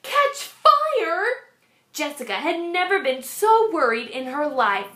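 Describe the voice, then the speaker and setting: Woman teacher reading aloud, high bright voice, echoey classroom sound. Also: moderate pace. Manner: expressive, animated reading. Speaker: kindergarten teacher. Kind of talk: storybook read-aloud. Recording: echoey room.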